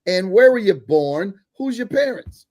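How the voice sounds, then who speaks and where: A man's voice: high-pitched, very animated, a little slow, darkish tone. A man hosting a church broadcast interview, emphatic and preacherly, laughing often as he talks. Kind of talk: enthusiastic interview host.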